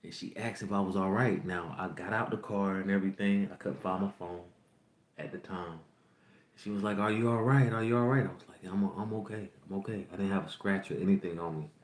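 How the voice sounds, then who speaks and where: Young guy hosting a podcast, real low voice, rambly and candid, kinda echoey room. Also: steady tone, brisk. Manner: candid, rambling, repeats himself. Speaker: podcast host. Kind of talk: personal storytelling to a livestream audience.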